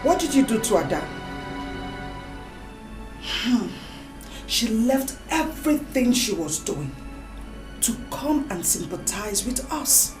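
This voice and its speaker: A middle-aged mother, played by a woman, coaxing and insistent, her voice rising and falling a great deal. The room sounds echoey, with clear music playing behind her. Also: on the high side, very animated, takes it slowly, pauses often. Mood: scolding. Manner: soothing yet forceful, insistent. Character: reassuring mother pushing her son to marry.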